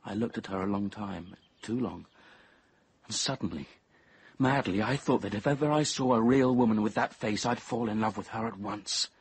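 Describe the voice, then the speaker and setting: Low-voiced, brooding young male narrator. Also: breathy edge, no room sound. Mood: entranced. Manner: measured, intense, dropping to near-whispers. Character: brooding, obsessive young man narrating his confession. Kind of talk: radio drama first-person narration.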